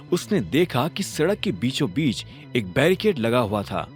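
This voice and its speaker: Animated male story narrator. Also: fairly high pitch. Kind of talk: dramatic scripted story narration. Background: background music.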